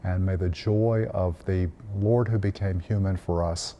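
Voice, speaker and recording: A priest in his fifties from Tennessee, telling Christmas memories in a gentle, unhurried way with frequent "uh" fillers. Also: sits low, fairly even intonation, slight background noise. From Tennessee, United States. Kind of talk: reflective Christmas storytelling message.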